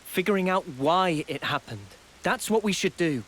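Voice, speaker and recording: A man playing an earnest, thoughtful young male soldier in a game cutscene, measured and resolute. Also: high-pitched, lively intonation, fairly bright tone. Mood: firm, insistent. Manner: measured, deliberate. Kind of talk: video game cutscene acting.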